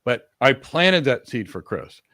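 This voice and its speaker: A man hosting a live-stream career talk, acting out mock job interview answers in a lively, demonstrative way. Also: mid-range voice, a little slow, no room sound, studio quality. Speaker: host.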